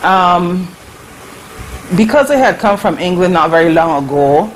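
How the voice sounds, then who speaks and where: A woman from Barbados with a Barbadian accent recalls her past in an unhurried, reflective voice, pausing often with fillers. She speaks over a webcam from her living room, with a faint hiss underneath. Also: fairly low pitch, poor audio. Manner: slow, reflective, halting with fillers. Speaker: interview guest. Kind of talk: casual recollection. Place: living room at home, indoors. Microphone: webcam on a video call.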